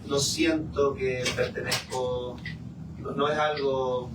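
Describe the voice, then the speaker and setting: A man's voice: mid-range voice, flat delivery, slow pace. A man speaking reflectively in a group discussion, repeating phrases for emphasis as he leads the talk. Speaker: musician leading a discussion. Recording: echoey room, distant mic, clear noise.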